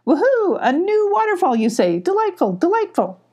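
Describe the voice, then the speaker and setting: Woman doing a high, hooting mother owl. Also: big pitch swings, moderate pace, darkish tone. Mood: delighted. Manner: hoots and repeats phrases. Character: hooting, delighted mother owl, kindly and authoritative. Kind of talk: children's storybook character voice.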